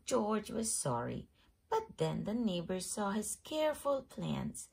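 A woman host reading a children's picture book aloud in an expressive storytelling manner. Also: mid-range voice, takes it slowly, vocal fry, husky. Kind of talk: scripted storybook read-aloud.

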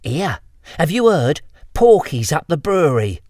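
A man's voice: on the high side, big pitch swings, darkish tone, dry recording, studio quality, voice pushed up, heavily acted. A man doing a small, sassy gossiping girl. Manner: gossipy, scornful, quick.

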